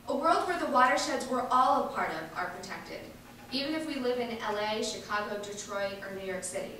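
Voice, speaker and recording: A woman delivering a prepared speech to a public event in deliberate, earnest, measured phrases. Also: on the high side, a bit bright. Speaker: reader at a staged public reading. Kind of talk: spoken reading of speeches and introductions. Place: large indoor hall at a public event. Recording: echoey hall from far off, faint noise.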